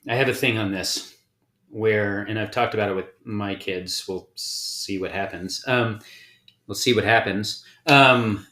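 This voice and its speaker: A middle-aged man with a somewhat low voice, speaking in a measured, considered way. He chats casually as a podcast co-host. The recording has a noticeable room echo. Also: sharp tone. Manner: measured, thoughtful, supportive listener. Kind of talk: casual conversation. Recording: echoey room.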